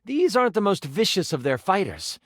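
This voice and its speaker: A man's voice: high-pitched, sing-song, brisk, dry recording, clean studio sound. An actor playing a game master, a man narrating a fantasy quest in a theatrical, suspenseful and emphatic way.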